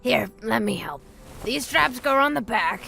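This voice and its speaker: Woman acting as old female wasteland wanderer, very gravelly, forceful, brisk mentor, audio drama. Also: fairly high pitch, animated, faint music behind, full character voice. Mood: gruff, fond. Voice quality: raspy, gravelly.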